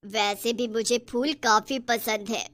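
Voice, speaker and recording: Woman playing a cackling high-voiced witch. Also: fairly even intonation, takes it slowly, fairly bright tone, no echo. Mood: pleased, teasing. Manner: cackling, taunting. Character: gloating, bossy, selfish female witch who kills people. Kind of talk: Hindi horror cartoon story acting.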